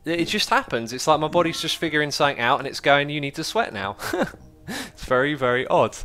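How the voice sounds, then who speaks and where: A man's voice: fairly high pitch, very animated, a little fast, noisy background. A man with a British accent, chatting casually as a livestreamer in a friendly, rambling, anecdotal way.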